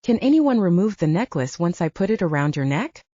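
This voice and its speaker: A woman's voice: lowish voice, sing-song, fairly quick, dark tone. A woman voicing an irritable, principled young male wizard held captive, grumbling sarcastically in an audiobook. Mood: exasperated. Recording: roomy, dull lo-fi audio.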